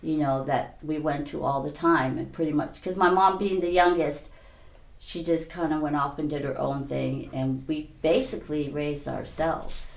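An older woman from Hawaii telling her life story in an interview, in a halting, plainspoken way with many "you know"s. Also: low voice, steady tone, creaky, echoey room, rough recording. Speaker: interview guest. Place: small indoor studio, seated before a backdrop.

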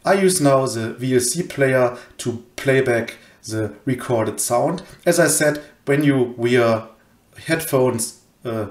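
A man's voice: medium pitch, slow. A man presenting an informal educational slide lecture as a voice-over, recorded indoors. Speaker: presenter of an educational cryptology channel. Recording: roomy, echoey home recording.

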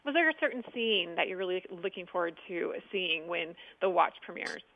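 A woman's voice: fairly low pitch, expressive, fairly quick, creaky, phone-quality sound. An American woman presenting and interviewing in a local television style.

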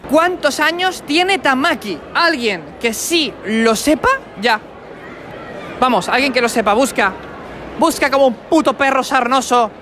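A man's voice: very high voice, animated, measured pace, bright, forceful tone, slight background noise. Brash young man. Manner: brash, mocking, playful insults. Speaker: host of street quiz. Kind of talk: teasing street quiz interview. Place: indoor convention hall. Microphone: handheld mic with furry windscreen.

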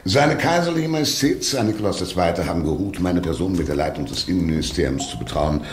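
An actor in a radio drama playing a suave, menacing older Russian minister, pompous and over-polite. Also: male voice, deep voice, expressive, fast talker, echoey room, slight background noise. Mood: silky, courtly.

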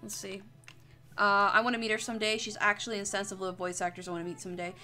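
A young woman with a bright, mid-pitched voice streams casually as she draws. She rambles in a loose, jokey way and swears freely. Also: little pitch movement, quick pace, soft background music. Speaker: streamer, artist. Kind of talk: casual livestream chat while drawing.

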